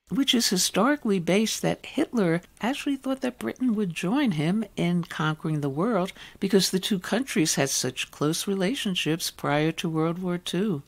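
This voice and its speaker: Woman hosting an arts radio show. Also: fairly low pitch. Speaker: radio host, interviewer. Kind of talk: radio interview and scripted links.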